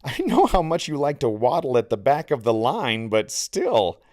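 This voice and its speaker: A man's voice: medium pitch, very animated, normal speed, darkish tone, voice pushed up, natural voice. A man voicing a mocking, cocky older teenage boy in an audiobook, sarcastic and taunting.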